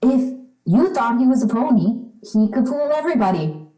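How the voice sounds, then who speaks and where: A woman's voice: on the high side, lively intonation, normal speed, mellow, lightly acted. A woman playing a plain-spoken young woman in an old-time radio suspense play. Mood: concerned, explaining. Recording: echoey room.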